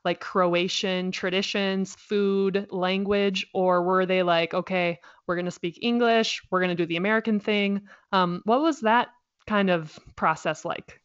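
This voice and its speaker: A woman's voice: medium pitch, steady tone, unhurried, clear, resonant tone. Curious woman host, slightly muffled sound. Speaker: podcast host. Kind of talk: casual interview chat.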